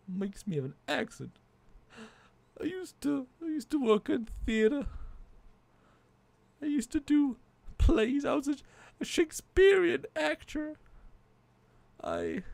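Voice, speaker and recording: A man's voice: extremely high pitch, gravelly, dry recording, pitched above the performer's own voice, exaggerated voice. A man voicing a grumpy male puppet, a former Shakespearean actor stuck with a put-on Southern colonel drawl, theatrical and insulting. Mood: wistful. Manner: theatrical, insulting, self-pitying bluster. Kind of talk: comic puppet bit on a livestream.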